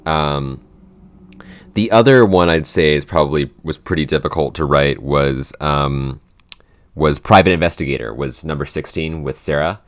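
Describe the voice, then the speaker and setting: A man's voice: extremely low pitch, normal speed. A young man chatting casually as a podcast host, rambling with many fillers and restarts. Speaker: podcast host and show writer. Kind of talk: casual chat.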